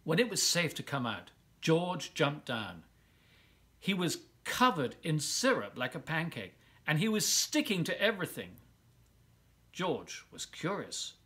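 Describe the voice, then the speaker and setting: A man reading a children's picture book aloud as a volunteer storyteller, expressively, with drawn-out words and dramatic pauses. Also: on the high side, sing-song, pushed and bright.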